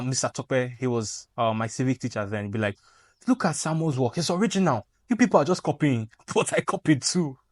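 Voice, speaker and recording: A man's voice: medium pitch, no echo, studio quality. A young man with a Nigerian accent, giving a playful, joking tutorial straight to camera as a YouTube creator. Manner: animated, playful, jokey asides. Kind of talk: casual tutorial talk to camera.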